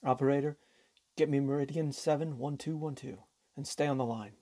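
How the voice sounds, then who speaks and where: A woman playing a defeated, weary husband in a radio drama, husky, grave and quietly resolute. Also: fairly even intonation, brisk, dry recording. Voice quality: low, husky.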